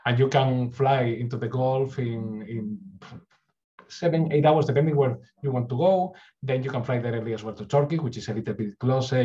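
A middle-aged man with a Spanish accent presents earnestly over a webcam call, often restarting and repeating words. His voice sits at an ordinary pitch. The audio is a little dull and lo-fi. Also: dark tone. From Spain. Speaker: university recruitment speaker. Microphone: webcam on a video call.

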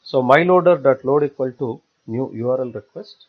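A man giving a step-by-step software tutorial in a halting, repetitive way. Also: mid-range voice. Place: voice-over for screen recording. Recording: dull, lo-fi screencast audio.

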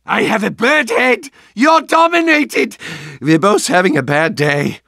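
An actor playing an unhinged German battlefield doctor with a theatrical, barking manner and a German accent. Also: male voice, sits high, sing-song, measured pace, gravelly, sharp tone, no room sound, clean studio sound, heavily acted. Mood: manic. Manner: theatrical, barking, gleefully deranged. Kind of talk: video game voice lines.

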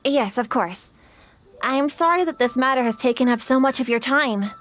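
A formal young shrine maiden. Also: female voice, sits high, fairly bright tone. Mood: composed. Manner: formal, courteous, measured. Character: polite young shrine maiden, composed and dutiful. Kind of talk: game voice acting.

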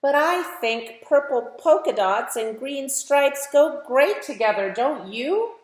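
Echoey, unhurried American woman reading to children. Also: high-pitched. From United States. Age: middle-aged. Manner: animated, emphatic storytelling, addressing young listeners directly. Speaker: children's author reading aloud. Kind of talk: picture-book read-aloud for children, with chatty asides. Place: medium living room at home, indoors. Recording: roomy, echoey home recording.

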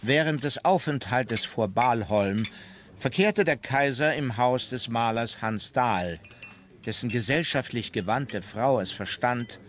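An actor playing a disapproving member of the Kaiser's entourage, reading memoirs in a measured, formal way. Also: male voice, mid-range voice, fairly bright tone, slight background noise, close to an everyday voice. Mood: dry, reporting.

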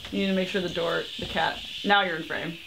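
A woman's voice: fairly low pitch, steady tone, fast, gravelly, noisy background. A young woman rambling casually in a bedroom vlog, full of "like" and "um" and casual swearing, recorded on a webcam. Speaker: vlogger. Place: small bedroom, indoors. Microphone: webcam at desk distance.

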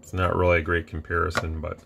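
A man casually explaining a radio on his workbench, relaxed and rambling with fillers and restarts. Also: deep voice, fast talker, vocal fry, slight background noise. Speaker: radio reviewer. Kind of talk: casual product demo. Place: workbench room with radio gear.

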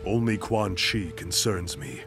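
An actor playing a grim, vengeful ninja clan master in a video game, speaking in solemn, formal phrases. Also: male voice, low-pitched, animated, unhurried, music in the background. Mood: grim resolve. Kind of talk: video game cutscene acting.

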